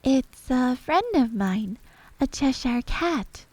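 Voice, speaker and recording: A woman's voice: sits high, sing-song, slow pace, darkish tone, no echo, pitched above the performer's own voice, heavily acted. A woman voicing a polite, earnest young girl in a storybook tale, with a prim way of talking.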